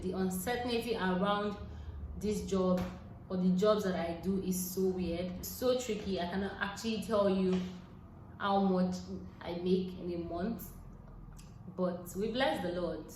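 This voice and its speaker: A woman in her twenties with a Nigerian accent, chatting casually to camera as she answers viewer questions, laughing often and dropping into soft asides. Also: medium pitch. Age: 20s. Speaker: vlogger. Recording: echoey room with faint noise.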